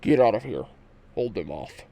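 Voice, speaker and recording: A man acts out a shadowy male fugitive in a sci-fi audio drama. The voice is deep and disguised, swinging widely in pitch as it gives curt commands. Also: very animated, normal speed, mellow. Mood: curt, threatening.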